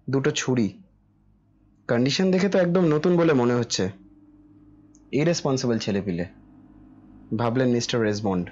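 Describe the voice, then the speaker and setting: A man's voice: medium pitch, a little mellow. Male narrator of a suspense story, slightly dull sound. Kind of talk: dramatic scripted horror story narration.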